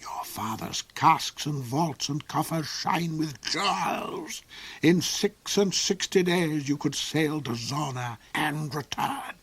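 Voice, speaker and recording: A man's voice: a little high, lively intonation, fairly bright tone, husky, dry recording, voice pushed up, exaggerated voice. A man voicing a sneering, cruel old duke. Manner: slow, silky, mocking, drops into hissing whispers. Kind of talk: audiobook character voice.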